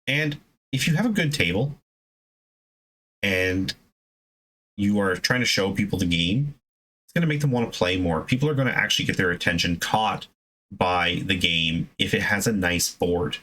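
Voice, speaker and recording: A man's voice: low voice, animated, normal speed, long pauses, sharp tone, rough recording. A man giving casual, unscripted hobby advice as a video host, in a conversational, emphatic way that rambles into asides.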